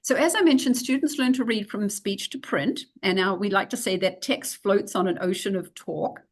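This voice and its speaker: A woman's voice: medium pitch, normal speed. A middle-aged woman with a New Zealand accent, explaining reading instruction for English learners in a recorded video-call lecture, practical and full of fillers. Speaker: teacher trainer.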